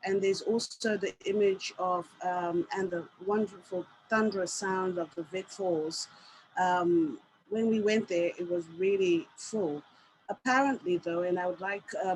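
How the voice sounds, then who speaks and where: A woman from Zimbabwe hosting an online call, speaking casually with many pauses and fillers. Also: mid-range voice, a little hiss or hum, low-quality recording. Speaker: host and artist. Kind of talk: casual video call talk. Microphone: video call.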